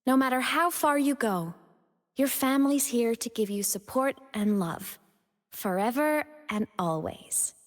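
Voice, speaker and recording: Sweet, high-voiced young ghost girl. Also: expressive, unhurried, big-room sound. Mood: tender, affectionate. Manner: tender, earnest, affectionate, with playful brightness. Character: gentle, playful young female digital ghost, a devoted mech pilot. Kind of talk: video game character voice lines.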